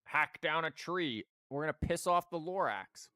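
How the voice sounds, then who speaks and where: A man's voice: on the high side, expressive, normal speed, sharp tone, clean tone, faint music behind. A man streaming a game live, giving casual, joking commentary full of slang and swearing.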